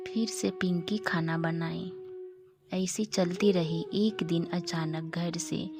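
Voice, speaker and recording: A woman's voice: lowish voice, steady tone, slow pace. A woman reading scripted narration for a children's story. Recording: music behind the voice.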